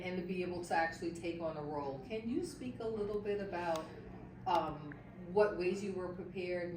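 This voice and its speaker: A woman's voice: fairly low pitch, recorded from a distance. A woman interviewer and career coach who asks encouraging questions, affirming often and tagging her sentences with "right?". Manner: affirming, frequent "right?" and "awesome". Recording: echoey room, voice a bit off-mic, noisy.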